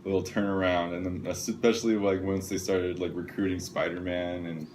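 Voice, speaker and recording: A man's voice: deep voice, little pitch movement, normal speed, darkish tone, slight background noise. A man co-hosting a casual film discussion podcast in a slangy, loosely phrased way. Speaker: podcast co-host.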